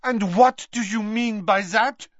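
A man's voice: mid-range voice, lively intonation, moderate pace, husky, dry recording, highs cut off. A man voicing a proud, fierce young bride who speaks in a haughty, formal way. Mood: indignant. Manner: haughty, forceful, formal phrasing.

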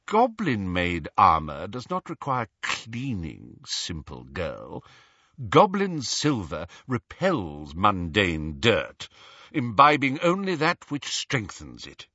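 A man putting on a pompous, husky old professor. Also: lowish voice, huge pitch swings, slow pace, sharp tone, dry recording, muffled recording, full character voice. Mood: sneering, weary. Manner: pompous, formal, disdainful. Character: haughty old male professor in a talking portrait, sneering, indignant.

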